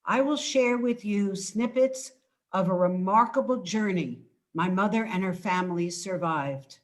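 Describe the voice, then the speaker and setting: A woman's voice: mid-range voice, measured pace. An older woman, an author, giving a prepared presentation as guest speaker.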